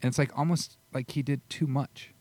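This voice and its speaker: A man hosting a podcast interview in casual chat, animated and swearing easily. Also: mid-range voice, moderate pace, no room sound. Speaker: podcast host.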